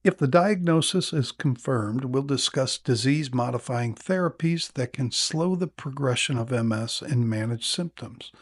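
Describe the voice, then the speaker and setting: A man playing a calm, attentive neurologist in an acted exam-practice consultation, explaining in a measured way with small hesitations. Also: medium pitch, no room sound, studio quality, lightly acted. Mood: serious, explanatory. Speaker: narrator and actor in a listening exam practice.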